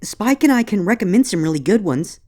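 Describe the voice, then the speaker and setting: A man's voice: high-pitched, expressive, fairly quick, a little mellow, voice pushed up. A man voicing an anxious, earnest young female unicorn pony with urgent, pleading delivery in a dramatic story reading. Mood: alarmed, concerned. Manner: urgent, exclamatory. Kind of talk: dramatic fan fiction reading.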